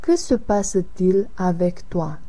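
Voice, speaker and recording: A woman reading Anne, unhurried and warm-toned. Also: on the low side, lively intonation. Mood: plain. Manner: careful, evenly paced reading. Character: adult woman who likes simple sporty clothes. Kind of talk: slow scripted language-lesson dialogue.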